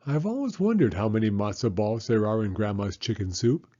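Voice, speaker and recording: A man's voice: on the low side, lively intonation, brisk, dark tone, dull audio. A man voicing a thoughtful, kindly old grandfather in a storybook reading.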